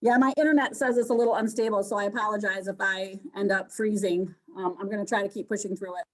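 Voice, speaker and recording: A woman's voice: medium pitch, steady tone, fast talker, poor audio. A woman interviewer on a video call asking long, winding, encouraging questions about a guest's career. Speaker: interviewer, host. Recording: video call with unstable connection.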